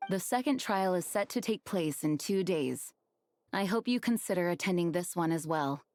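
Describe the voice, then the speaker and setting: A composed woman of authority in a video game, voiced by a woman. Her voice sits fairly low and she speaks formally and with measure. She sounds grave, courteous and dutiful, with faint music behind her. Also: expressive, moderate pace, darkish tone, natural voice. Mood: grave, concerned.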